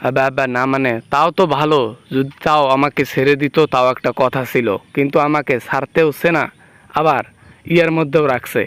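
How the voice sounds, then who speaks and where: A man's voice: medium pitch, steady tone, brisk, fairly bright tone, clean tone, no echo, lightly acted. A man playing a frightened, guilty prisoner in an amateur comic phone skit, stammering and pleading with exaggerated complaints. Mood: whining. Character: frightened male prisoner, guilty, whining, woken by dream caller.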